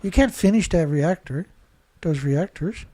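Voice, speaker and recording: A man's voice: on the high side, lively intonation, a little slow, dark tone, no echo. Stuffy-nosed man ranting over news. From Canada. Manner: rambling, indignant, rhetorical questions. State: stuffed-up nose. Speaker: host. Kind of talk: solo commentary on news.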